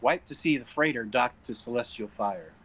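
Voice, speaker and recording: Guy reading stage directions at a table read, bright forceful voice, medium pitch. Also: lively intonation, normal speed, husky. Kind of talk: script narration at a table read.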